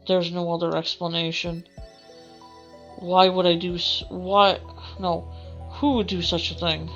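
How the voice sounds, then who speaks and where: A woman reads story text from a visual novel for a let's play. Her voice sits low and moves little in pitch, and she slips into casual comments. Faint game music plays behind her. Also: little pitch movement, unhurried, highs cut off. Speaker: gamer doing a let's play. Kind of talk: reading visual novel narration aloud with casual asides.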